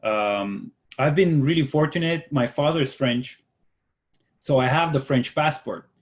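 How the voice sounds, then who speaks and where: A man in his forties who grew up in Latin America with Spanish as his first language, teaching an online workshop in a relaxed, rambling way with many fillers and restarts. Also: medium pitch, a little slow. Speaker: workshop instructor, concept artist. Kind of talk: casual online workshop talk.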